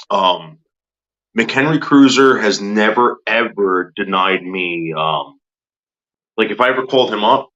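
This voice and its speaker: A man's voice: low voice, pushed and bright. A man joining a livestream as a guest, stammering, repeating his words and swearing casually. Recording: dull lo-fi call audio.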